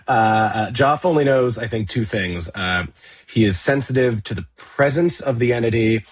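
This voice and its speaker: A man being interviewed on a podcast, answering conversationally in a halting, hesitant manner. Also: mid-range voice.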